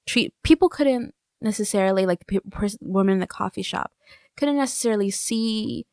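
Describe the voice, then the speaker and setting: A woman interviews in a conversational podcast style, with a medium-pitched voice recorded dry. She speaks in a halting way, reworking her phrases and affirming what she hears. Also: warm tone, no echo.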